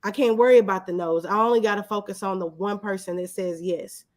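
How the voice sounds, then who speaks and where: A woman's voice: mid-range voice, steady tone, a little fast. Candid woman in her thirties, casual interview guest. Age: 30s. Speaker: guest, videographer.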